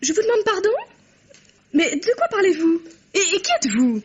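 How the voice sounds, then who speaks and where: High-strung young daughter, high lively voice, old radio play. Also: female voice, moderate pace. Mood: puzzled, indignant. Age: young adult. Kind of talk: old French radio drama acting. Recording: dull vintage radio recording.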